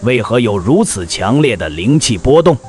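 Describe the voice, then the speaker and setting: An actor playing a stern old sect elder in an animated drama, speaking formally and imperiously. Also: male voice, medium pitch, moderate pace, close to an everyday voice. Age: older. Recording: music behind the voice.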